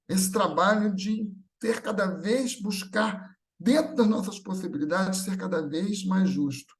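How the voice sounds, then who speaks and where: Brazilian male lay preacher, high voice, informal and explanatory, peppering the talk with rhetorical questions. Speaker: speaker at a Spiritist gathering. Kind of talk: religious talk, conversational and explanatory.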